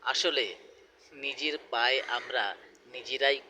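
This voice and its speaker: A man reciting a poem in a dramatic style, deliberate and emphatic, pausing between short phrases. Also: medium pitch, fairly even intonation, pushed and bright, slight background noise. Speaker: poetry reciter.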